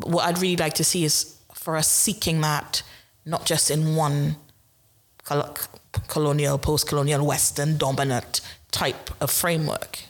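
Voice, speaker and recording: Woman, very low voice, panel guest, thoughtful, checks in with "right?". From Trinidad. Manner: reflective, tags statements with "right?". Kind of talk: panel discussion.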